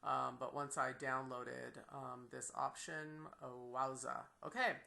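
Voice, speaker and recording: A woman giving an unscripted, enthusiastic screen-share software tutorial as a teacher, with a creaky voice. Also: rumbling low, steady tone, slow. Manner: enthusiastic, exclaims in delight.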